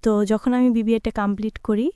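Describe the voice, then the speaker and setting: A woman's voice: fairly high pitch, fairly even intonation, brisk, warm tone, clean tone, dry recording, studio quality. A young woman with a Bangladeshi accent telling her own life story conversationally, relaying remembered dialogue as she goes. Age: young adult.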